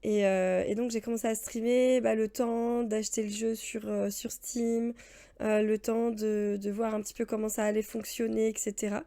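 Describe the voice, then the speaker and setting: A woman's voice: on the high side, level tone, normal speed, vocal fry, warm tone. A woman in her thirties, chatting casually while streaming a game, rambling with many fillers and answering her viewers. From France. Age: 30s. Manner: rambling, chatty, laughs often, answers chat. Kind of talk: casual let's-play chat.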